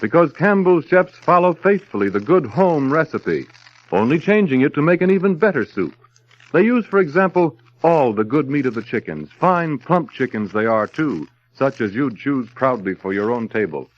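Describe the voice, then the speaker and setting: A man working as a radio announcer, reading a nostalgic 1930s sponsor message in a formal, measured, polished sales manner. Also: mid-range voice, sing-song. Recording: dull, lo-fi vintage radio recording.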